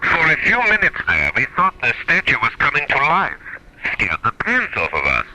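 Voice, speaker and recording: An actor playing an earnest, uneasy scientist on a moon base in a radio drama, speaking crisply and seriously. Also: male voice, on the high side, wildly swooping pitch, normal speed, bright, forceful tone, exaggerated voice, poor audio.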